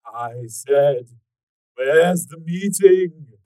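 A man voicing a cruel, menacing villain in a storybook reading, drawing out his threats slowly. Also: a little high, lively intonation, dark tone, dry recording, pitched above the performer's own voice, rough recording. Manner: slow, drawn-out, threatening delivery. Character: menacing male villain Stalker, cruel interrogator of a dragon.

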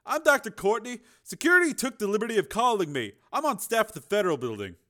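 Reassuring male physician, played by a male narrator, high-pitched, professional and matter-of-fact, bright delivery for audio fiction. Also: very animated, fast talker, exaggerated voice. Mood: reassuring, businesslike. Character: calm, reassuring male doctor giving a bedside report.

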